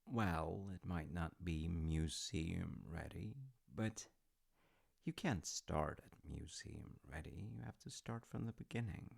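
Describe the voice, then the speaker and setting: A man playing a warm, gently teasing dad in an intimate audio roleplay, speaking thoughtfully and reassuringly with a gravelly voice. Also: low voice, slow pace, full character voice. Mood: fond, reassuring. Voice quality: gravelly, creaky. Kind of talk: intimate audio roleplay, slice-of-life acting.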